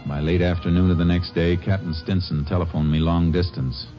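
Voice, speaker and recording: An actor playing a calm, dogged Texas Ranger investigator in a terse, polite 1950s radio drama style. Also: male voice, low-pitched, a little fast, faint music behind, natural voice. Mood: matter-of-fact. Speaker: lead actor, narrator. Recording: dull, hissy old radio recording.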